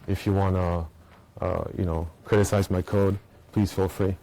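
A man's voice: low voice, flat delivery, normal speed, dark tone. A man from Belgium giving a casual technical conference talk to a room, often checking in with "right?" between points. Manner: casual, explaining, frequent "right?" and "uh" fillers. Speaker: conference speaker. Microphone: small recording mic.

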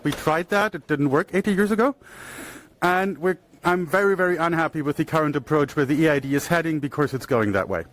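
A man from Germany presents at a conference in an earnest, plain-spoken way, with a voice a little higher than most men's. He talks into a handheld mic. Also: a little fast, fairly bright tone. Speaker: conference speaker. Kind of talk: conference talk. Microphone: handheld microphone shared with co-speaker.

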